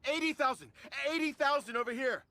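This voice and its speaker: A bold, competitive female bidder in a video game. Her voice is bright and forceful, with a pushy edge. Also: sits high, brisk, a little hiss or hum.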